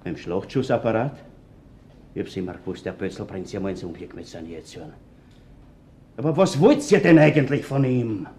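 A man's voice: on the low side, animated, brisk, long pauses, darkish tone, slight background noise. A man acting a well-off middle-aged businessman in a radio crime drama, with a Viennese accent, colloquial and somewhat guarded. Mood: guarded, curious. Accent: Viennese Austrian. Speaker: actor.

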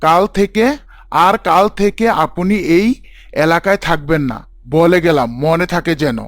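Villainous local boss, male actor: domineering, threatening, arrogant, fairly high pitch, close and dry. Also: moderate pace, no room sound, natural voice. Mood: menacing. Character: bullying local political boss, arrogant angry father.

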